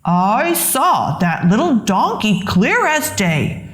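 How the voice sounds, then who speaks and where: A woman's voice: mid-range voice, sing-song, echoey room. A woman voicing a mean, baffled lion who mutters, in a children's storybook. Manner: slow, drawn-out muttering. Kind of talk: children's storybook read-aloud character voice.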